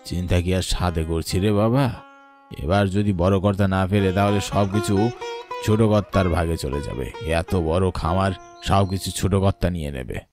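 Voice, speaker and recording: A man voicing a loyal old cowherd in a cartoon, low, weary and fretful, with music behind him. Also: normal speed, darkish tone. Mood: worried. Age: older. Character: worried, loyal old male cowherd on a farm. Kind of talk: cartoon voice acting.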